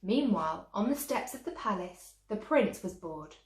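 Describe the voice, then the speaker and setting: A woman's voice: mid-range voice, lively intonation, normal speed, slight background noise. A woman storyteller reading a children's tale expressively, like a teacher to young listeners. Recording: echoey room.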